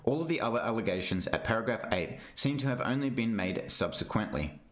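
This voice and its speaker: A man with a fairly low voice reads a formal document aloud. His delivery is measured and scripted, and the recording has a clearly roomy echo around the voice. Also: animated. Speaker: reader of a legal document. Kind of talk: formal read-aloud narration of a witness statement. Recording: roomy, echoing sound.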